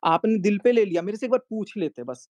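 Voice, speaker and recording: A man's voice: sits high, sing-song, fairly quick, darkish tone, no echo, highs cut off. A man in a heated argument, insistently repeating himself and cutting in to make his point. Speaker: YouTube vlogger.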